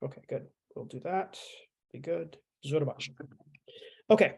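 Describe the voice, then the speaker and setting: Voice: medium pitch, a little slow, creaky, a little mellow, slightly rough. A language instructor giving a casual online lesson, explaining letters in a chatty way with fillers and playful asides.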